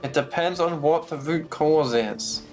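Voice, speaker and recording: A streamer commenting casually on a game as it plays. The voice is medium-pitched and unhurried, making blunt asides over faint music. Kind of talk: casual live gameplay commentary.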